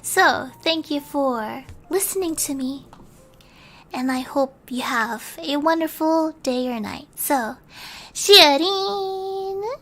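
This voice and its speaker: A woman streamer reading a bedtime story in a soft ASMR style, at times slipping into a whisper. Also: sits high, expressive, takes it slowly, soft background music. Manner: soft-spoken, sometimes whispering.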